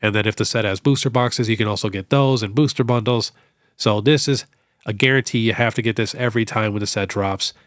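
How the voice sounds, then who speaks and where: A young man with a stuffed-up nose from a cold, hosting a casual question-and-answer show in a relaxed, chatty way full of fillers. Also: on the low side, a little fast, no room sound, clean studio sound. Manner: relaxed, chatty, many fillers like "you know". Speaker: host. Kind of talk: casual Q&A on card collecting.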